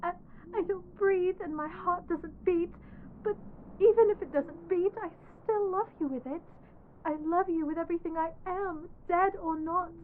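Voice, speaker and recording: High-voiced lovestruck undead bride played by a woman. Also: moderate pace, narrow, tinny audio, a little hiss or hum. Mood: tearful pleading. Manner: soft, earnest, pleading, hesitant stammer. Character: lovestruck undead corpse bride, pleading, gentle, clingy yandere. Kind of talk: ASMR audio roleplay.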